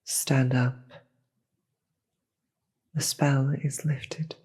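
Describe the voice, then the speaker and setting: Casting: demon queen for audio drama, acted out by one performer, very breathy and husky, slow, commanding yet weary. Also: medium pitch, level tone, darkish tone, full character voice. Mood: stern, commanding. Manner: slow, deliberate, grave. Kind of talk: dramatic audio roleplay acting.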